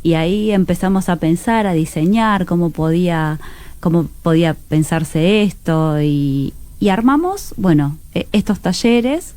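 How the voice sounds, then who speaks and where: A woman's voice: lowish voice, a little slow, dark tone. A woman with a Rioplatense Argentine accent, speaking conversationally as a guest in a radio interview.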